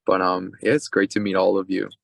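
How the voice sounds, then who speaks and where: A man from Peru with a low, gravelly voice introduces himself to an online meeting. He pauses and hesitates often. The sound is dry. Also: completely flat delivery, dry recording. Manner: hesitant, many fillers. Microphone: video call.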